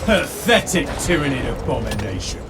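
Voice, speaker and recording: A man's voice: medium pitch, big pitch swings, normal speed, over loud music, lightly acted. A man voicing a scornful battle-hardened soldier. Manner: scornful, clipped combat callouts. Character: contemptuous male armored soldier, battle-hardened.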